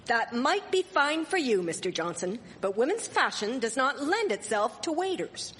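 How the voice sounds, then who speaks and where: A woman playing a sharp-tongued, indignant Victorian village wife in a live radio drama, scolding and sarcastic in formal diction. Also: fairly high pitch, very animated, normal speed. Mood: exasperated. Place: performing arts theatre stage. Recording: echoey hall, live stage performance.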